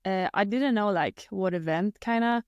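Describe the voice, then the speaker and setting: Woman, twenties, Swedish accent, native Swedish, mid pitch, smooth voice, dry close mic, hesitant. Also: moderate pace, darkish tone, no echo, lo-fi sound. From Sweden. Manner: hesitant, many fillers and restarts. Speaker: guest athlete. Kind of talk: casual interview chat.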